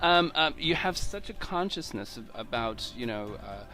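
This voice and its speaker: A man's voice: medium pitch, big pitch swings, normal speed, music in the background. A man from Portugal hosts a casual interview, speaking hesitantly, stumbling over his words and often laughing. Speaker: host.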